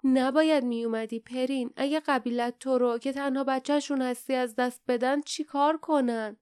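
Female reader as gruff older companion, blunt, protective, grumbling, clear tone, dry close sound. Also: high voice, darkish tone, no room sound, natural voice. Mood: worried grumbling. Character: gruff, protective grown man adventurer, scolding.

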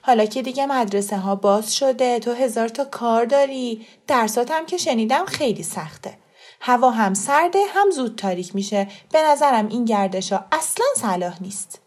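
A woman voicing a wary, gently disapproving mother in an audiobook story. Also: a little high, very animated. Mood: suspicious, reasoning.

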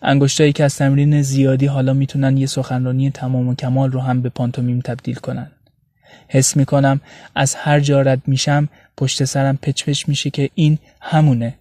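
A man's voice: medium pitch, mellow, no echo, studio quality, natural voice. A man playing a withdrawn, brooding young student in an audio drama monologue, speaking introspectively and downcast. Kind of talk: first-person dramatic monologue in a serial audio story.

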